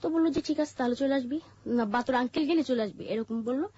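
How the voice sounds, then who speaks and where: A woman with a Bangladeshi accent telling her life story in an interview, haltingly, with pauses and repeated phrases. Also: high-pitched, mellow, a little hiss or hum. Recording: muffled, dull lo-fi audio.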